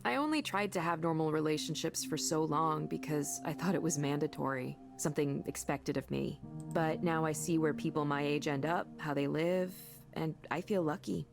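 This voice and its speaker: A kind, self-assured female coworker in a visual novel game. She speaks in a fairly low voice with plenty of fry, earnest and gently encouraging. The delivery is natural and conversational, over faint music.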